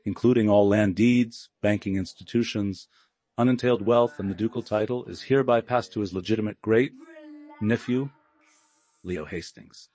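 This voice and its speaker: A stern family lawyer voiced by a male narrator, low-pitched and formal. He declaims legal pronouncements with absolute finality, in the style of a dramatic audiobook. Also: normal speed, mellow, natural voice. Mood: grave authority.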